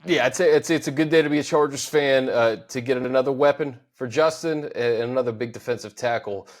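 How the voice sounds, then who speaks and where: A man's voice: medium pitch, brisk. A male host of a casual football podcast, easygoing and agreeable, steering the conversation and wrapping up. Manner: easygoing, agreeable, wraps up the show. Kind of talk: casual sports talk podcast.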